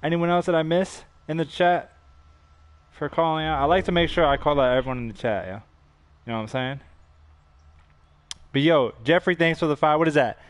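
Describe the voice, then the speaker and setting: A young man streaming a game from a room, giving excited, slangy commentary and thanking viewers for donations while his voice goes hoarse. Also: a little high, brisk, pauses often, no echo. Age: young adult. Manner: hype-driven, slangy, thanking donors between plays. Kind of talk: live gameplay commentary with chat shout-outs. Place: indoor gaming room.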